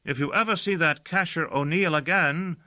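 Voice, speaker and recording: A man voicing a cheerful, excitable heavy-set servant who keeps forgetting things. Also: a little high, normal speed, smooth, pitched above the performer's own voice.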